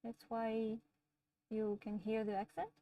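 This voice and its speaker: A woman from France with a French accent, hosting a livestream and chatting casually with viewers in a gentle, easygoing way, often laughing. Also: a little high, completely flat delivery, a little slow, far from the mic, poor audio. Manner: gentle, chatty, laughs often, talks softly to her pets. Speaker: livestream host. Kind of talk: casual live chat answering viewers.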